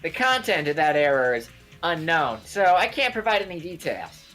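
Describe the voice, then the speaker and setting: A woman's voice: deep voice, sing-song, moderate pace, a bit bright, soft background music. A woman voicing a childlike robot bear cub, earnestly explaining things in a game fan dub.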